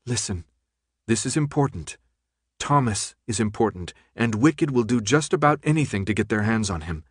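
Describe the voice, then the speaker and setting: A man's voice: mid-range voice, sing-song, fairly quick. A man voicing a tough, no-nonsense young woman with an insistent, businesslike manner in an audiobook. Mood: hushed, insistent.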